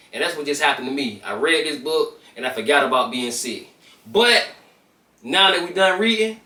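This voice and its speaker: An American man with a notably high voice hosts a children's read-aloud. His delivery is casual storytelling mixed with informal chat, full of everyday slang aimed at young listeners. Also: sharp tone, roomy sound, recorded from a distance.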